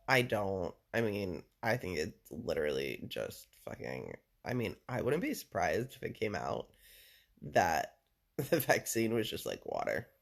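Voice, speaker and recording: A man with a deep voice chatting casually and at length to a listener, rambling, full of fillers and casual swearing. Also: creaky. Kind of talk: casual personal chat with a listener.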